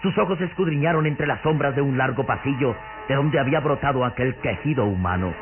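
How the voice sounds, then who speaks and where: A man's voice: mid-range voice, a little fast. A man narrating a scripted radio drama in a solemn, dramatic style. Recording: muffled old radio recording. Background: music.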